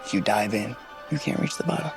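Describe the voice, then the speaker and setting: A man's voice: fairly low pitch, expressive, fast talker, gravelly, music in the background. An actor playing a proud, teasing desert man in a film.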